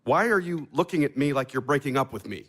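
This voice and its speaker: A man's voice: mid-range voice, expressive, quick pace, a bit bright. A man playing an aggressive, possessive young businessman, curt and scornful, in a naturalistic audio drama. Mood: wounded, scornful.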